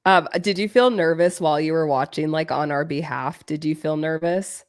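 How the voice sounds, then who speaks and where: A woman's voice: sits low, normal speed, no room sound, studio quality. A woman co-hosting a casual podcast, chatty and bubbly, often giggling between lines. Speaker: podcast co-host. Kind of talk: casual chat.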